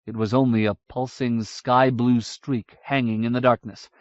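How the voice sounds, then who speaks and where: A man's voice: medium pitch, no echo. Man narrating an audiobook in a dry, dull recording. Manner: measured, even storytelling. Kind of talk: scripted fantasy narration.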